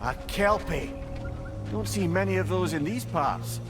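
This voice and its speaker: Casting: learned old Scottish companion for a game, highish pitch, very animated and chatty, music behind. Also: male voice, a little slow. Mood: wry, genial. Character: witty, talkative, learned old male advisor. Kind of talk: dramatic video game acting.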